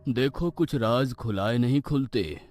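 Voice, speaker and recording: A man voicing a curt, skeptical ghost investigator who speaks in a slow, measured, dismissive way in a horror cartoon. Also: mid-range voice, darkish tone, soft background music, natural voice. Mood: guarded.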